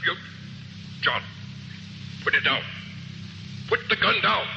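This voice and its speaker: A pompous, jovial middle-aged hunter, blustering with a gravelly, slightly high voice. Heard through a noisy old radio recording. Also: male voice, expressive, slow pace, muffled recording, full character voice. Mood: pleading. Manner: blustering, then pleading.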